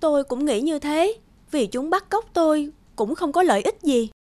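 An actress playing an anxious but determined young princess in a cartoon fairy tale. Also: female voice, high-pitched, animated, normal speed, warm tone. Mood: worried.

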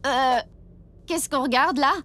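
A woman voicing an earnest, self-doubting young female alicorn pony in a French animated cartoon dub, expressive in delivery. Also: high-pitched, slow, a little hiss or hum, exaggerated voice. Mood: unsure. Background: loud music.

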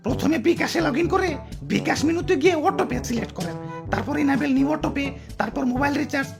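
A woman voicing a fawning courtier fish in a cartoon, flattering and speaking rustic dialect. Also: high voice, a little fast, gravelly, over music. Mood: explaining.

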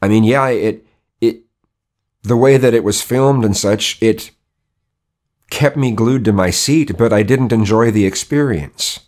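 A hoarse middle-aged man talking casually to camera in a rambling opinion vlog, full of restarts and rhetorical questions. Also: fairly low pitch, measured pace, a little mellow. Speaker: vlogger. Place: indoor studio with colored backdrop.

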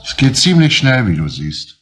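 An actor playing a middle-aged male chief police inspector who talks in a calm, grave, measured way in an old TV crime drama. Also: soft background music. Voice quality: low, husky, measured. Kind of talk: 1970s TV crime drama dialogue.

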